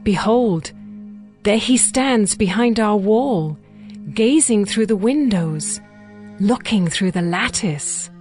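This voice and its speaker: An actress playing a tender, devoted young bride in a dramatized scripture reading, with measured, poetic delivery. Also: female voice, mid-range voice, lively intonation, a little mellow, soft background music. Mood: tender, adoring.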